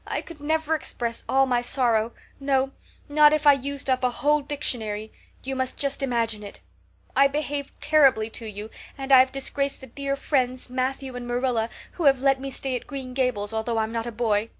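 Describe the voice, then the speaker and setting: A woman's voice: medium pitch, moderate pace, lightly acted. A woman voicing a young, impulsive and imaginative orphan girl who speaks in a theatrical, tremulous, pleading way.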